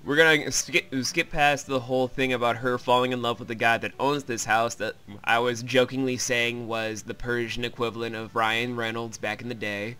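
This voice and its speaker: A man's voice: medium pitch, little pitch movement, normal speed, few pauses, pushed and bright, clean tone. A man hosting a let's play, giving a casual, wry, rambling and sweary recap of a visual novel.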